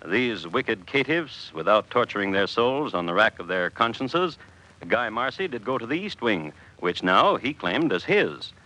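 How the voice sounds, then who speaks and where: A man's voice: lowish voice, sharp tone, poor audio. A man narrating a scripted old-time radio tale in a wry, mock-scholarly manner with ornate phrasing. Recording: old radio recording with music. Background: music.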